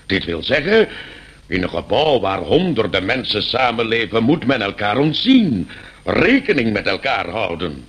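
Pompous, husky-voiced landlord. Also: male voice, on the low side, very animated, normal speed, a bit bright, full character voice. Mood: stern, indignant. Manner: pompous, mock-courteous, lecturing. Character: stern, condescending landlord scolding his eccentric tenant. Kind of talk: radio play acting.

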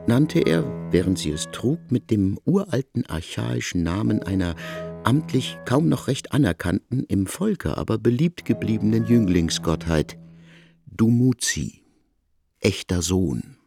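A man narrating a literary radio drama in a measured, solemn way. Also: fairly low pitch, animated, creaky, over music.

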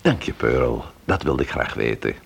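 A man playing a pensive investigator in a Dutch radio drama, with a gravelly, husky voice and a halting manner. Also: a bass voice, expressive, exaggerated voice. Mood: reserved. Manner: hesitant, halting. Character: hesitant, pensive male investigator, awkward with women.

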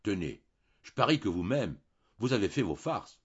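Man voicing a gossipy old priest, husky, lo-fi. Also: on the low side, sing-song, moderate pace. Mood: preachy. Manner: moralizing then sly and jovial. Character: blunt chatty old male country priest.

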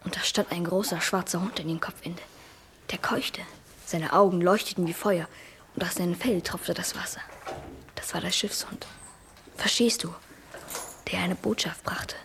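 A woman's voice: on the low side, steady tone, normal speed, long pauses, whispered, lightly acted. A woman playing a young schoolboy in a German radio drama, earnest, imaginative and trusting, with childlike delivery. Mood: hushed, awed. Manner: childlike, plain, wide-eyed telling.

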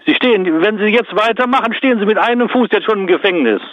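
Heard through a tinny, dry telephone line, this man answers a customer as a shop worker. His pitch swings widely as he insists bluntly and repeats his points in unscripted conversation. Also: expressive, fast, dry recording. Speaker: shop worker taking a customer's phone call. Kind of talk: spontaneous phone conversation.

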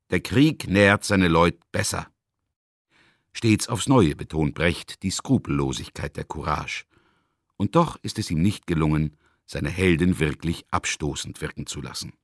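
A man presenting scripted literary commentary in a measured, articulate and emphatic way. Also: low-pitched, animated, no echo, studio quality. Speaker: radio presenter introducing a classic play.

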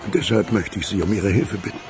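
A man acting in a German radio crime drama as a courteous, measured older businessman with a crooked past, calmly persuasive. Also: on the low side, over music, natural voice. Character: suave ageing provincial magnate, ex-crook turned capitalist, calmly persuasive.

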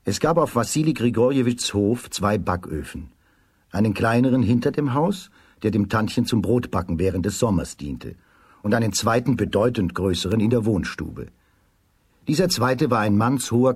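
A man narrating a folk tale in a children's radio play, in a measured, slightly husky storytelling voice. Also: on the low side, darkish tone, no room sound, studio quality.

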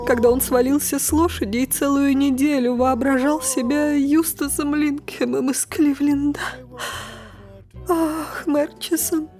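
Anxious aunt, high voice, worried, lamenting, theatrical, audio play with faint music. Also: female voice, a little mellow. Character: fretful, anxious aunt worrying over her eccentric relative. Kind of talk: audio drama acting.

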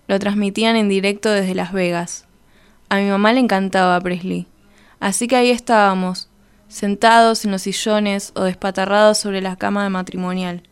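A woman tells a personal story in a literary style. Her voice is smooth and clear, at a medium pitch.

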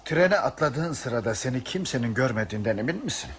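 A man plays a caring, level-headed man taking charge in a radio play. His mid-range voice moves briskly, with some faint noise on the recording. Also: mid-range voice, animated, close to an everyday voice. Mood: practical, firm. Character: calm, caring, practical male passenger taking charge. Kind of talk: Turkish radio theatre acting. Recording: noisy old radio drama recording.

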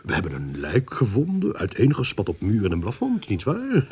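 A man's voice: on the low side, wildly swooping pitch, moderate pace, a little mellow, husky, full character voice. A man acting a blustering, sarcastic police inspector in a radio play, browbeating and theatrical. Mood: scornful sarcasm. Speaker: radio drama actor.

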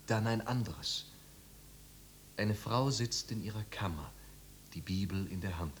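An actor playing a sensitive, impassioned young poet in a 1950s German radio drama, lyrical and intense. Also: male voice, lowish voice, unhurried, natural voice. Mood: tender, rapt. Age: young adult. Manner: impassioned, lyrical, dropping to near whispers. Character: fervent, sensitive young male poet, easily heated. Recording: noisy 1950s radio recording.

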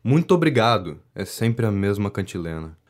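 An actor playing a polite, reserved politician in a period comedy audio drama, dryly humouring a flatterer. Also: male voice, lowish voice, moderate pace. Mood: polite, weary. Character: courteous, wry politician wearily humouring a flatterer. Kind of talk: audio drama acting of a 19th-century comedy.

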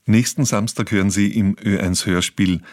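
A man's voice: on the low side, fairly even intonation, normal speed, no room sound, studio quality. A man reading scripted programme announcements on radio in a factual, composed way.

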